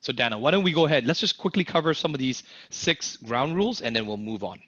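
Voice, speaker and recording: Energetic male online sales instructor. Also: medium pitch, fast, breathy edge, no room sound, dull audio. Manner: energetic, asks audience to type in the chat. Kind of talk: live online class teaching.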